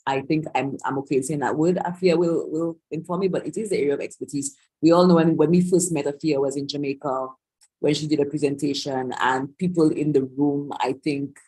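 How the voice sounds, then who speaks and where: A woman's voice: deep voice, a little mellow, husky, low-quality recording. A woman organiser hosting a live online Q&A on a video call, talking loosely with many restarts and asides. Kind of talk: live-streamed online Q&A talk.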